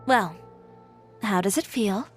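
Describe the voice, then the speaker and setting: A woman's voice: mid-range voice, slow pace, faint music behind, natural voice. A woman playing a proud, guarded young woman in a video game, understated and self-possessed. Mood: cool, reassuring.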